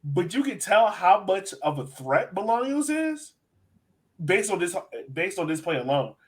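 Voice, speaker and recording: A man's voice: sits high, very animated, unhurried. A man hosting a sports podcast, giving casual, opinionated match analysis in a conversational way.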